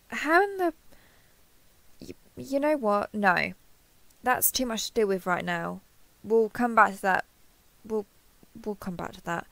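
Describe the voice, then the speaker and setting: A woman's voice: medium pitch, a bit bright, no echo. A woman playing a kindhearted, awkward, easily flustered human in an intimate roleplay audio, hesitant and stammering as she asks curious questions. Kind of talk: intimate roleplay audio acting, one side of a conversation.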